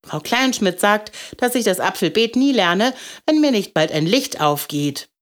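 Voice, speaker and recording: A woman's voice: medium pitch, animated, a little slow, voice pushed up, close to an everyday voice. A woman voicing an earnest, easily downcast little schoolboy in a storybook, with a solemn, childlike way of talking.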